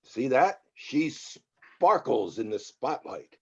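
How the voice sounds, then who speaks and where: A man acting a smooth, wealthy Hollywood producer in his twenties at a drama table read, conversational and self-assured, on slightly dull audio. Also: mid-range voice, big pitch swings, takes it slowly, fairly bright tone, full character voice.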